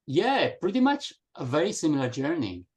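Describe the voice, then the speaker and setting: A man's voice: on the high side, sing-song, moderate pace. A middle-aged man from Spain, a guest telling his story on a video call. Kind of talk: casual video call chat.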